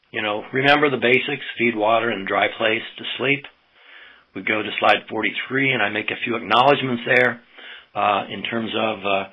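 Low-voiced American man, husky, presenting slides. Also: highs cut off. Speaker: presenter, swine extension specialist. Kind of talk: informal slide lecture for farmers.

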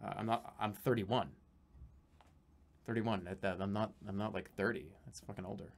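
A man in his thirties chatting casually on a live stream from a small room at home, reading out donations, rambling and swearing, sometimes breaking into excited yelling. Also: lowish voice, moderate pace, gravelly. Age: 30s.

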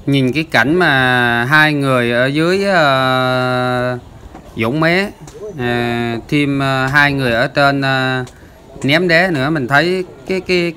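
A man's voice: medium pitch, pushed and bright, slight background noise. A man hosting a fishing channel, explaining the footage in a chatty voice-over with lots of filler sounds. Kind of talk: casual voice-over commentary.

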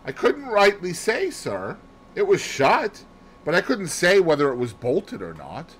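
A man's voice: sits high, huge pitch swings, unhurried, a little hiss or hum, voice pushed up, exaggerated voice, rough recording. A man voicing a nervous, deferential housemaid with a hesitant manner, as dialogue in an audiobook. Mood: deferential, unsure.

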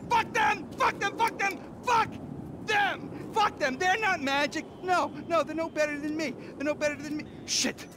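A man acting in a video game cutscene as a manic, sleazy celebrity obsessive who rants in a jittery, stammering, excitable way. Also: very high voice, moderate pace. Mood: frantic, obsessed. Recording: game scene, noisy traffic background.

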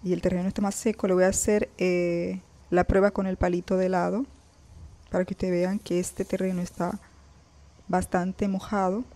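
A woman's voice: lowish voice, level tone, normal speed, warm tone. A woman hosting a casual gardening vlog, chatting straight to her viewers and pointing things out as she films her plants outdoors on a balcony. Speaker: gardening vlogger.

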